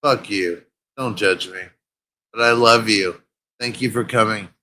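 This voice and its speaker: Male host, mellow stoner ramble, slow delivery, slightly low voice. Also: slight background noise. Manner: laid-back, stoned, rambling with fillers. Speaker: show host. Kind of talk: casual rambling stream talk.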